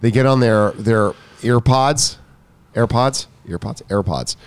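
A man's voice: mid-range voice, slow pace, clean tone, no echo. A man who coaches tennis, co-hosting a casual chat, earnest and often restarting his sentences. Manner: earnest, interrupts himself and restarts.

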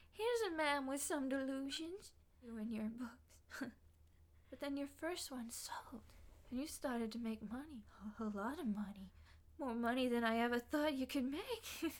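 An actress playing a scornful, cruel gold-digging wife with sneering, slangy delivery in old-time radio horror melodrama. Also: female voice, high-pitched.

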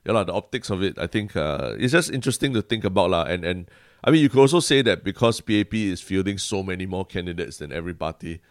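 A young man with a Singaporean accent, co-hosting a podcast in relaxed, agreeable chat, ending phrases with "lah". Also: deep voice, quick pace, dry recording, studio quality. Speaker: podcast co-host. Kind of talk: casual chat.

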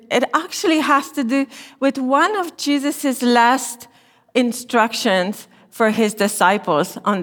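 A middle-aged woman from Romania with a Romanian accent, giving a gentle, unhurried chapel talk as a therapy lecturer. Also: a little high. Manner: gentle, unhurried, slightly non-native phrasing. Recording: long reverb in a chapel hall.

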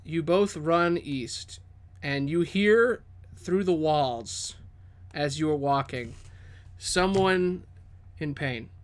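A man's voice: on the high side, slow, pauses often. A man narrating a tabletop game as game master, with casual, descriptive storytelling.

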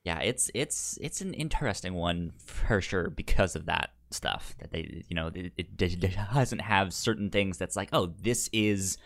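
A man co-hosting a movie podcast in casual chat, halting and often repeating words and sounds as he goes. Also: low-pitched, lively intonation, gravelly, a bit bright, no echo, clean studio sound.

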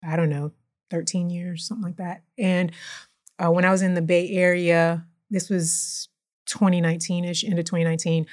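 A woman with a somewhat low voice, speaking as a guest on an interview podcast. She tells her story in a chatty, relaxed way and keeps checking in with a quick "right?". She grew up in Houston and works as a chemist and founder. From Houston, Texas. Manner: chatty, frequently tags sentences with "right?". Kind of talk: casual interview conversation.

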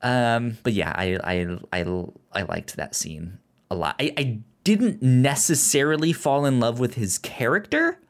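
Halting man with lively, swinging pitch. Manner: halting, stammering with repeated words and false starts. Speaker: podcast co-host. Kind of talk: casual film discussion.

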